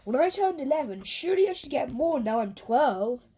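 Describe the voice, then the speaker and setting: A woman's voice: sits high, sing-song, normal speed, dark tone. A woman voicing a spoilt, whining twelve-year-old rich boy in a children's storybook. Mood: scoffing, whining. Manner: whiny, scoffing.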